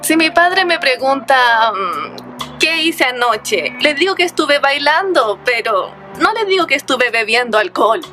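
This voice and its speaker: A woman's voice: sits high, big pitch swings, unhurried, bright, forceful tone, faint music behind. A young woman giving casual, offhand answers in a street interview.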